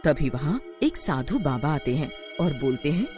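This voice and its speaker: A storyteller narrating a scripted horror tale. The voice is animated, with wide swings in pitch. Music plays faintly behind it. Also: normal speed. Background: background music.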